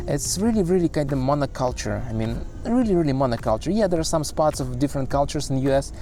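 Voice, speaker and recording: A man's voice: mid-range voice, warm tone. A man in his thirties from Russia, speaking English with a Russian accent, rambling casually to the camera with many fillers and restarts. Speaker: vlogger. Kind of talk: casual vlog monologue. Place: street in a city.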